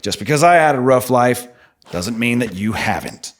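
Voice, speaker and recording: An actor playing a blunt, needling, foul-mouthed streetwise stranger who lives in the subway, in an audio drama. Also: male voice, mid-range voice, lively intonation, moderate pace, close to an everyday voice. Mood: angry, blunt. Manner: blunt, profane, probing.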